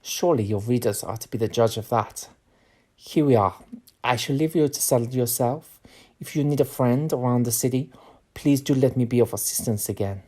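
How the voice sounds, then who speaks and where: An actor playing a courteous, bookish professor in an audio drama, speaking formal, slightly unidiomatic English. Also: male voice, medium pitch, moderate pace, mellow, close to an everyday voice. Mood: warm, earnest.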